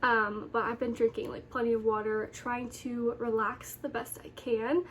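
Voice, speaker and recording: A woman's voice: a little high, level tone, moderate pace. An American woman in her early twenties chatting casually to viewers as a vlogger, rambling along with a slightly congested, nasal voice. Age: early 20s. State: congested with sore throat. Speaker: lifestyle vlogger.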